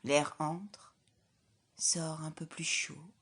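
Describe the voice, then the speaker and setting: A woman leading a guided yoga nidra relaxation as an instructor, in a calm, soothing, measured way with soft, breathy phrase endings. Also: low-pitched. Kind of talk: guided relaxation meditation, scripted.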